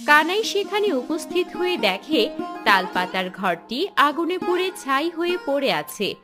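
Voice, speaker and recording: A woman's voice: sits high, sing-song, a little slow, music in the background. A woman narrating a fairy tale in a dramatic, scripted storytelling style.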